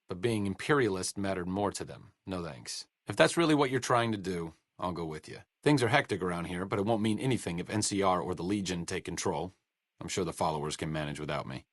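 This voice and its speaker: A dry, sarcastic scholar-medic. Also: male voice, lowish voice, no room sound. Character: sardonic male scholar-medic companion, dry wit. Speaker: game companion character. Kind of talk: studio video game voice acting.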